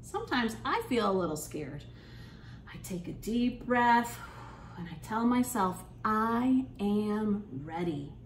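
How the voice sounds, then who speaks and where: A woman's voice: medium pitch, very animated, slow, recorded from a distance. A woman voicing a caring, reassuring father of a young boy in a storybook read aloud. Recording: echoey room, clear background noise.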